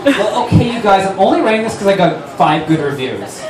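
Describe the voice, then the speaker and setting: A man's voice: high voice, very animated, fairly quick, crowd noise. A man voicing an indignant teenage girl author, petulant and defensive, in a comic stage reading. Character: indignant teenage girl fan-fiction author scolding her critics. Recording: echoey distant stage recording, noisy.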